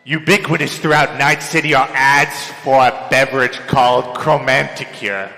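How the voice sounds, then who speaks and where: A young man delivers a theatrical dramatic reading of a written piece in a melodramatic manner, swinging between hushed and loud. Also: medium pitch, fairly even intonation, normal speed, gravelly. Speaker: dramatic reader. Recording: echoey hall with long reverb, music behind. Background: music.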